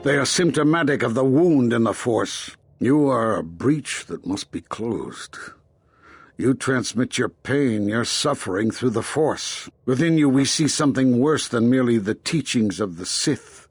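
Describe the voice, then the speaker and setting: An actor playing a stern, condemning older Jedi master in a video game, speaking gravely and deliberately with a husky voice. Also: male voice, mid-range voice, lively intonation, dry recording. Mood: stern, accusing. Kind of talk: dramatic video game dialogue.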